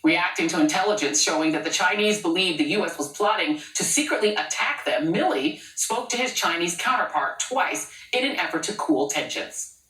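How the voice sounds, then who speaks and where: A woman's voice: fairly low pitch, lively intonation, moderate pace, fairly bright tone. A woman anchoring a cable news show, delivering pointed, sarcastic commentary. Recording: roomy sound from a distant mic.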